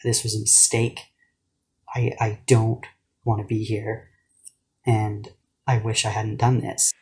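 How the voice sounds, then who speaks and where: A man's voice: on the low side, monotone, takes it slowly, a little mellow, slightly rough. A man giving regretful, hesitant personal testimony in an interview.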